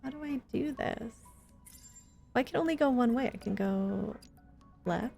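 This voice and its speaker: A woman's voice: on the high side, moderate pace, gravelly, darkish tone, soft background music. A woman chatting informally and playfully with viewers while she streams a video game. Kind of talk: casual live gaming chat with viewers.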